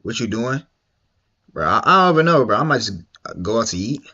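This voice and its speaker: A man's voice: mid-range voice, big pitch swings, brisk, pushed and bright, muffled recording. A young man streaming, reacting to a video in casual, slangy, repetitive chat. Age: young adult. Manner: slangy and repetitive, drawling and mumbly.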